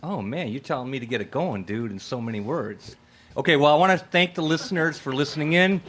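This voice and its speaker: A man's voice: medium pitch, fairly quick, a bit bright, a little hiss or hum. A man hosting a live radio play event in a casual, effusive, rambling way. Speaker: host and playwright.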